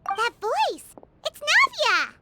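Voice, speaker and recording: A woman voicing a tiny, excitable fairy companion girl in a video game, with a squeaky childlike voice and chirpy, exclamatory delivery. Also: slow pace, slight background noise, exaggerated voice. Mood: excited. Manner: speaks of herself in third person, chirpy, exclamatory. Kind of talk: video game character dialogue. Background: tinkling game music.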